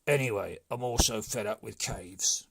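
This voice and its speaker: A man voicing a gruff, boastful and easily offended brigand chief from a storybook, speaking with indignant, emphatic bluster. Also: on the low side, normal speed, background noise, close to an everyday voice. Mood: fed up. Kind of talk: storybook character voice.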